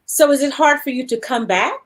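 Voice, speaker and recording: A woman's voice: sits high, expressive, a little fast. A woman hosting a casual livestream interview over a video call, chatty and rambling as she reads out viewer comments. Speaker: show host.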